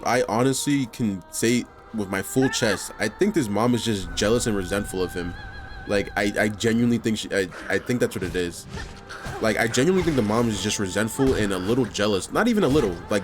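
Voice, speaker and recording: A man from New York hosting reaction commentary, casual and heartfelt, full of "like" and swearing. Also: mid-range voice. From New York, USA. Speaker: commentary channel host. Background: music.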